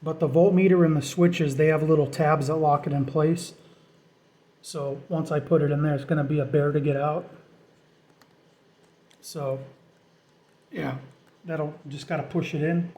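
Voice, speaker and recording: Male DIY vlogger, slightly high pitch but soft, dark-edged tone, informal thinking-aloud delivery. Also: steady tone, measured pace, pauses often. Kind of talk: casual off-the-cuff how-to narration.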